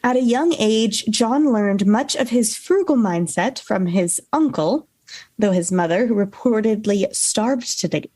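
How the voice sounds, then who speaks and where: A woman's voice: on the high side, animated, unhurried, mellow, no echo. A woman co-hosting a podcast, telling a researched story in a casual way. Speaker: podcast co-host. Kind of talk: storytelling from notes with casual banter.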